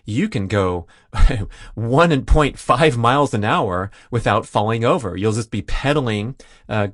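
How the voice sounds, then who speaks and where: A man's voice: mid-range voice, animated, unhurried, dry recording, clean studio sound. A man hosting a solo listener Q&A podcast, rambling and jokey with self-deprecating asides. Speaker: podcast host.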